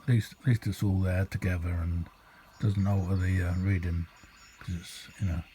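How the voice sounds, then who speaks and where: A British man with a lowish, very mellow and relaxed voice talks casually through an informal demonstration. He rambles and hesitates, unscripted and easygoing. The amateur home recording has clear background noise. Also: moderate pace. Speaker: hobbyist radio demonstrator.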